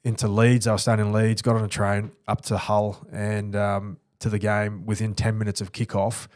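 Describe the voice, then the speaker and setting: A man's voice: lowish voice, flat delivery, normal speed, a little mellow, no room sound. A man in his thirties, born in New Zealand, with an Australian accent, a former rugby league player speaking earnestly and reflectively in a studio podcast interview. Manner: earnest, reflective, many restarts. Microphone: studio mic on boom arm.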